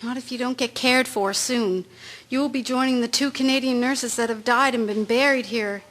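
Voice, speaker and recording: A woman's voice: fairly high pitch, steady tone, normal speed, a bit bright, close to an everyday voice. A woman acting a caring, concerned First World War nursing sister in a radio drama.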